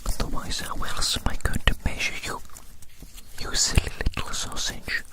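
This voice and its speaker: An ASMR performer whispering through a playful roleplay, slowly repeating phrases in a babying way. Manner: slow, repetitive, playful, babying.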